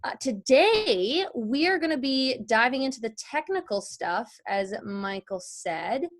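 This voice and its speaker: A woman with a fairly high voice leads an informal online tutorial. She is chatty and explanatory, talking straight to her students as a guest voice-over instructor. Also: animated, measured pace. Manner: chatty, explanatory, addresses the group directly. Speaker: guest voice-over artist teaching a class.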